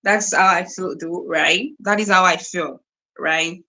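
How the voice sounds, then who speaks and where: A woman's voice: lowish voice, steady tone, measured pace, sharp tone. A young Nigerian woman with a Nigerian accent hosting a casual livestream, chatting to viewers with lots of fillers, restarts and repeated phrases. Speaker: livestream host. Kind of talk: casual live stream chat reading viewer comments.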